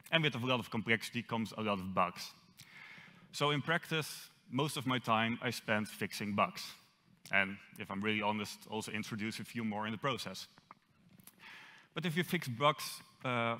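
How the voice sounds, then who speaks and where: Man, Dutch accent, young adult, conference speaker, self-deprecating humor, bright voice, reverberant hall. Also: mid-range voice. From Netherlands. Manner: self-deprecating, lightly joking. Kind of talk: tech conference talk. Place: large auditorium stage.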